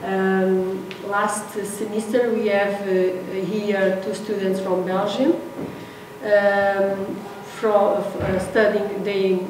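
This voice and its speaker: A woman introducing a guest speaker in halting, hesitant English. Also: mid-range voice, little pitch movement. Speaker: host lecturer introducing a guest. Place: large echoey lecture hall. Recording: echoey hall from far off, noisy.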